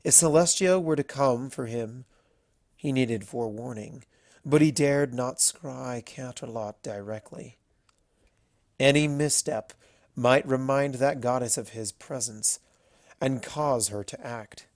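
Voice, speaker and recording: A narrator reading scripted fan fiction in a measured, dramatic storytelling manner. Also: mid-range voice, dry recording, studio quality.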